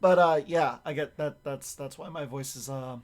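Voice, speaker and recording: Raspy-voiced man chatting casually on stream. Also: on the high side, normal speed, a little mellow. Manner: rambling, self-correcting, swears casually. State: raspy, strained voice. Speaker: streamer and voice actor.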